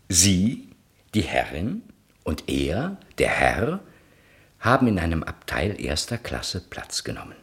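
A man narrating a radio play from a script, setting the scene in a measured way. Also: low voice, a little hiss or hum.

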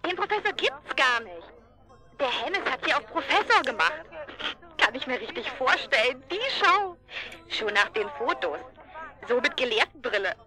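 An excitable, cheeky daughter with a high, piercingly bright voice. Her delivery is lively, breezy and gossipy, full of slang, in radio drama style. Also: normal speed, exaggerated voice, rough recording. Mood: excited, amused. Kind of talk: German radio drama acting.

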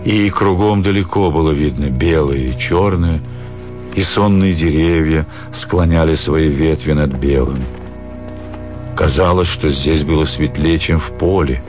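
A man with a deep, low voice narrates literary prose for a radio audio play. The reading is scripted storytelling, with music clearly playing behind him. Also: unhurried. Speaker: narrator, actor reading a classic short story.

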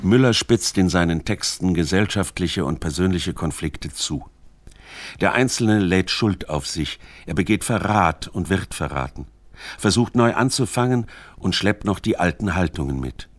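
A man reading scripted narration for a literary radio documentary in a measured, formal manner. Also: sits low, expressive, no echo.

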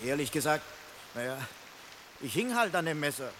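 A man's voice: on the high side, very animated, normal speed, background noise. An actor playing a stubborn, sulking fugitive man who grumbles sceptically, in a German radio play. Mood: sulky, wistful. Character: stubborn, sulking fugitive man mourning his lost knife.

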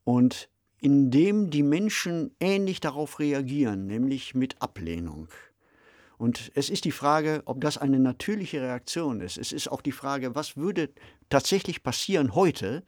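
Guy co-hosting a podcast, average pitch, really dry sound. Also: animated, measured pace, darkish tone, dry recording. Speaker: podcast co-host. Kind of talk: podcast discussion.

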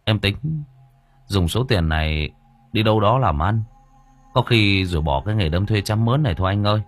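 A man's voice: fairly low pitch, expressive, moderate pace, close to an everyday voice. A man voicing a young henchman who talks casually and deferentially. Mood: easygoing.

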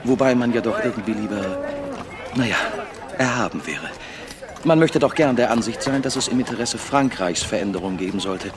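Lively, courtly aristocratic judge, played by a man. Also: lowish voice, fairly quick, crowd noise, natural voice. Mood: ironic, musing. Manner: courtly, polished, ironic. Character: urbane, ironic male aristocratic judge, idle and rich. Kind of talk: radio drama acting.

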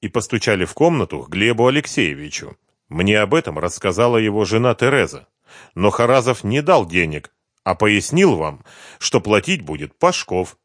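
A man voicing a calm, commanding detective who questions a suspect in an audiobook, level and firm. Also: fairly low pitch, moderate pace, no echo, clean studio sound. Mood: calm, probing. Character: calm, relentless male detective interrogating a suspect. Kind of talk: audiobook detective novel dialogue.

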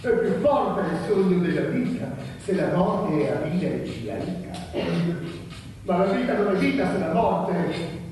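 A man's voice: high-pitched, big pitch swings, unhurried, a little mellow. Theatrical male guardian in echoing hall. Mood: solemn, incantatory. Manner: theatrical, declamatory. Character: male guardian of an artificial garden, scornful, menacing. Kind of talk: experimental radio theatre acting. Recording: very echoey, distant hall, noisy.

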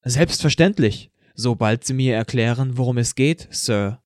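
A man's voice: mid-range voice, a little slow, no echo, studio quality, lightly acted. A man playing a cheeky teenage schoolboy who feigns polite innocence in an audio drama, insolent underneath. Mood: mock-polite. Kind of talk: fan audio drama acting.

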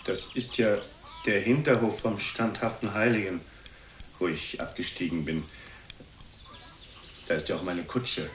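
Guy acting a plaintive self-pitying womaniser son, lowish voice, radio play, noisy background with birds. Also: steady tone, measured pace, long pauses, natural voice. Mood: sheepish. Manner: pleading, plaintive, self-justifying.

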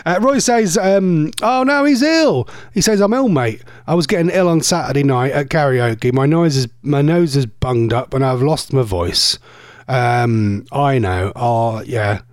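A man's voice: mid-range voice, measured pace, no room sound, clean studio sound. A middle-aged man hosting a radio show, rambling through jokey home stories with lots of restarts. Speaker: radio host. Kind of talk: casual radio chat. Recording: music playing under voice.

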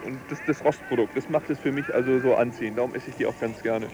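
A man's voice: medium pitch, steady tone, fast talker. A man chatting casually and tentatively, groping for words as he describes things. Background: clear music.

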